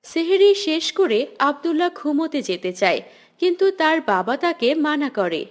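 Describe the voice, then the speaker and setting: A woman's voice: sits high, sing-song, normal speed. A woman narrating a children's cartoon story in a scripted storytelling style.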